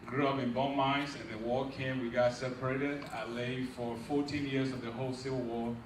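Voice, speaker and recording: A middle-aged man delivers a rousing, sermon-like speech, tossing questions at the audience and climbing to loud, emphatic peaks. His voice is caught from a distance in a big hall that rings with echo. A steady hiss of noise sits underneath. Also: mid-range voice, normal speed. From Liberia. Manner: preacherly, asks the crowd questions and rises to loud emphatic peaks. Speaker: nonprofit founder giving a launch speech. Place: large echoey event hall. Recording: echoey hall, distant mic, noisy.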